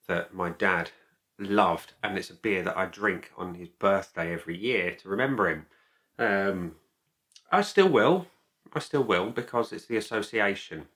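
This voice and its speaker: A man giving a casual product review to camera as a video host, speaking in a halting, deliberate way and repeating phrases. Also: sits low, pushed and bright.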